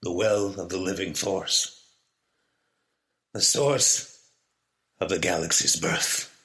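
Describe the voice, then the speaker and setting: A man's voice: low voice, fairly even intonation, slow pace, pushed and bright, exaggerated voice. A man playing an ancient alien master in a motion comic, speaking in a grave, portentous, stern way. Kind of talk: dramatic motion comic acting.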